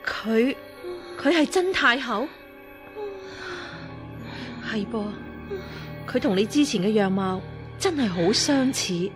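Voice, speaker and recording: Stern martial-arts nun, swooping intonation. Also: female voice, on the high side, lively intonation, takes it slowly, pauses often, music in the background. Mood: stern, probing. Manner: interrogating, accusing, authoritative. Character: stern, righteous, sceptical martial-arts nun, an elder master. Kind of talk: Cantonese radio drama acting.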